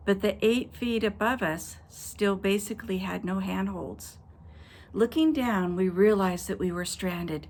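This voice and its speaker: A woman's voice: medium pitch, a little hiss or hum. A woman pastor reading an adventure story aloud to children, voicing the quoted characters and stopping to talk to her young listeners. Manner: expressive storyteller, voices quoted characters, pauses to address kids.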